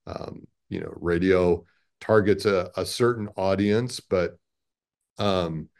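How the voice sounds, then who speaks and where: A man in his fifties speaks in a low voice with a lot of fry in it. He answers interview questions conversationally and in a measured, hesitant way. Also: a little mellow. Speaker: radio station president, interview guest. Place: small indoor office, on a video call.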